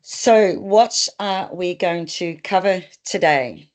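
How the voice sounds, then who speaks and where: Kiwi lady running a webinar, lowish voice, friendly, lots of ums. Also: expressive, slow. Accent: New Zealand. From New Zealand. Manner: friendly, hesitant with fillers. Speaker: migration consultant, webinar host. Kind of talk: webinar presentation.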